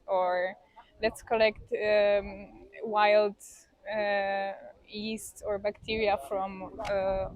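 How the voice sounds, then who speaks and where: A woman speaks at a middling pitch. She is in casual, reflective conversation and feels her way through each thought, hesitating as she searches for words. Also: low-quality recording. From Poland. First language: Polish. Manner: hesitant, thinking aloud.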